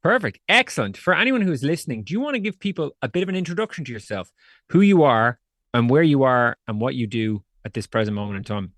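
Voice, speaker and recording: A male podcast host who asks his questions in an upbeat, friendly way. He talks fast. The recording is dry, with little room sound. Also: medium pitch, big pitch swings, a bit bright, dry recording. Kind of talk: podcast interview.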